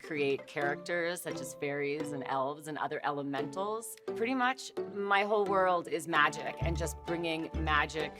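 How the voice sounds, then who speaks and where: A woman's voice: low-pitched, moderate pace, few pauses, music in the background. A woman speaking as a psychic reader on an unscripted TV show. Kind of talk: unscripted psychic reading and interview.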